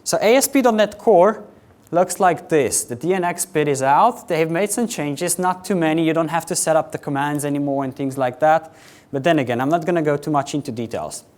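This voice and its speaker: A young man from Slovenia with a Slovenian accent, giving a quick, chatty technical conference talk to a live audience. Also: a little high, darkish tone. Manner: quick, chatty presenter with filler words, addressing a live audience. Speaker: conference speaker.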